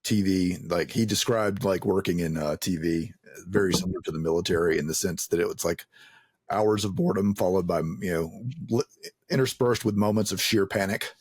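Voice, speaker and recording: A man's voice: low-pitched, a little fast, a little mellow, slightly rough, no echo. A man hosting a casual interview, rambling and repeating words as he works out a thought. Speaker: interview host.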